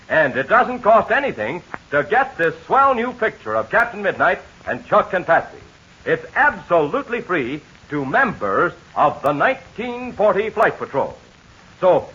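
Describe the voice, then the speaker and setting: A man announcing in a 1940s radio ad, making a peppy, folksy pitch to children. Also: pushed and bright, breathy edge. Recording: muffled 1940s radio transcription.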